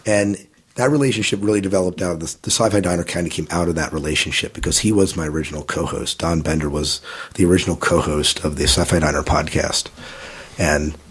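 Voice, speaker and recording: A man in his thirties with a low, husky voice talks through his story as a podcast guest. He rambles easily, circling back with fillers and restarts. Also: steady tone, fast. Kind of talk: casual interview answers.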